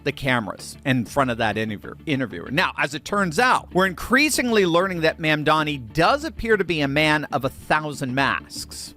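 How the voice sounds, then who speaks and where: A man's voice: on the high side, bright, forceful tone. A man hosting political commentary, sarcastic and mocking, chuckling between remarks. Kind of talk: commentary on clips.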